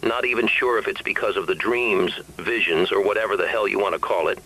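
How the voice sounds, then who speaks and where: A man acting a weary, despairing husband and father cut off by a sleepless epidemic, in a halting confessional monologue for an analog horror drama. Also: fairly low pitch, bright, forceful tone, slightly rough, full character voice, rough recording. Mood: wistful, agitated.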